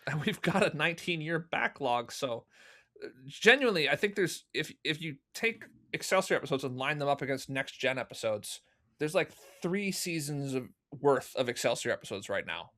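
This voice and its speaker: A man's voice: fairly high pitch, expressive, normal speed, bright, forceful tone. A man speaking as an interview guest in casual chat, with a self-deprecating manner. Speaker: interview guest, fan audio drama producer.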